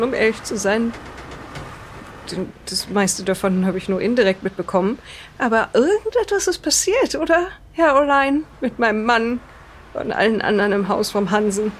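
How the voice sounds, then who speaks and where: A guarded, weary island wife of a lighthouse keeper, acted by a woman in an improvised radio play. She speaks haltingly at a middle pitch, over clearly audible vehicle-like background noise. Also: close to an everyday voice. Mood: uneasy, guarded. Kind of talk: improvised in-character audio drama retelling.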